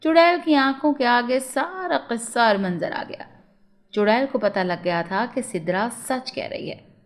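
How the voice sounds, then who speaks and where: A woman tells a scripted story for children. Her voice is somewhat high, and she works as the narrator of a moral tale. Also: unhurried.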